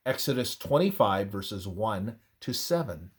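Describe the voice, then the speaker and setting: Slow, expressive male narrator. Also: lowish voice, breathy edge. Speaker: narrator reading a religious history presentation. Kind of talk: scripted narration, read aloud.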